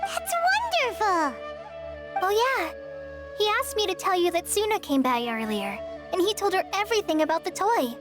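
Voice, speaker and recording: A woman's voice: extremely high pitch, sing-song, normal speed. A woman voicing a cheerful young female apprentice who is eager to help, in video game dialogue. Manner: eager, bright, bouncy. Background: game music.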